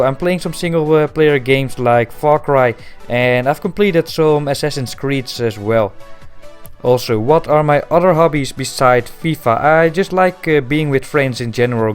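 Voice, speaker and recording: A man in his twenties from the Netherlands with a Dutch accent, answering viewer questions in a casual voice-over, matter-of-fact with frequent pauses. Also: mid-range voice, clear, resonant tone. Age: 20s. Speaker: gaming video creator.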